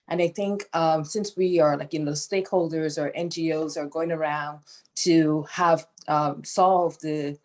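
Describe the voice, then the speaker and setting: A woman from Guyana with a notably low voice, talking through unscripted panel remarks. She hesitates often, filling pauses and restarting her sentences as she goes. The sound comes through a video call. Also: fairly even intonation. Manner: hesitant, many fillers and restarts. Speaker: nonprofit founder speaking on a panel.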